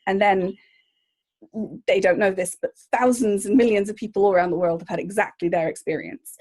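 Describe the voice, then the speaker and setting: A woman's voice: medium pitch, steady tone, a little fast, darkish tone. A woman, a journalist guest, chatting eagerly and rambling with restarts. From Northern Ireland. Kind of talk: casual interview chat.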